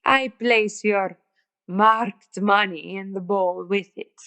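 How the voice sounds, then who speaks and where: A woman playing a menacing, haughty phony psychic in a radio comedy drama, formal, deliberate and imperious. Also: mid-range voice, fairly even intonation, noisy background. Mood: cold, threatening. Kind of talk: old-time radio villain acting.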